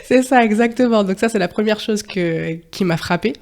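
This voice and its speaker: A woman's voice: mid-range voice, moderate pace, creaky, darkish tone. A young woman from France who grew up partly in Martinique, talking as a podcast guest in a hesitant, casual way, with many fillers and frequent laughs. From France, raised partly in Martinique. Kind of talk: casual interview testimony.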